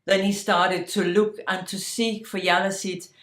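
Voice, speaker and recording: A woman telling a fairy tale slowly and deliberately, sometimes dropping to a hushed whisper. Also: mid-range voice, flat delivery, reverberant. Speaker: storyteller. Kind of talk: oral fairy-tale storytelling.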